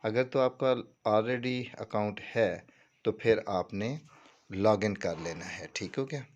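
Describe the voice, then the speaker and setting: A man's voice: fairly low pitch, slow. A man with a Pakistani accent giving an informal tutorial walkthrough, explaining things step by step and often checking that the listener follows. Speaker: tutorial presenter.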